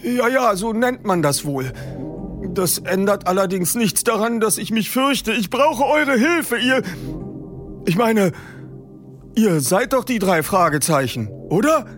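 A jittery old man near eighty stammers and catches his breath, his voice pitched high and strained, as a male reader puts him on. He sounds loud and pressed, with a fearful tremble. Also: expressive, unhurried, faint music behind. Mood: nervous. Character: frightened elderly man nearly eighty.

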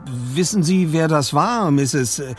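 A man's voice: on the high side, lively intonation, takes it slowly, soft background music, voice pushed up. A man voicing a polite, composed teenage boy detective in an audiobook, concerned and courteous. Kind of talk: audiobook character voice.

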